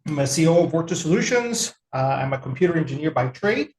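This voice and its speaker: A man from El Salvador giving a relaxed, conversational technical webinar with frequent fillers. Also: fairly high pitch, quick pace, roomy sound. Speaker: webinar presenter.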